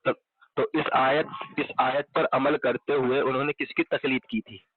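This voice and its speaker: A man's voice: medium pitch, level tone, normal speed, slight background noise. A man in an argumentative religious debate over the phone, insistently pressing and repeating pointed questions. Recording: muffled, band-limited telephone call recording.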